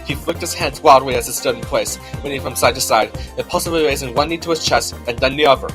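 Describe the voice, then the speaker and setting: A man's voice: mid-range voice, quick pace, music in the background. A man giving scripted narration of a storybook as its narrator.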